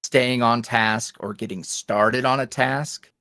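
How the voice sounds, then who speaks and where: A man's voice: medium pitch, fairly even intonation, slow, sharp tone, no room sound, clean studio sound. A man, an ASMR roleplay creator, chatting as a guest in a casual interview, rambling with many fillers and restarts.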